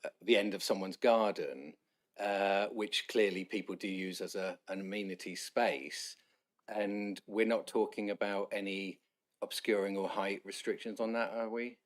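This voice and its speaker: A man chairing a council planning committee, polite but halting, often restarting words. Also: fairly low pitch, fairly even intonation.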